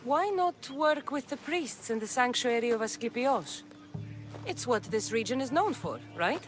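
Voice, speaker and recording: A woman's voice: fairly high pitch, lively intonation, measured pace, music in the background. A woman playing a self-assured, direct and wry Spartan woman adventurer in a video game cutscene. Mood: curious, calm.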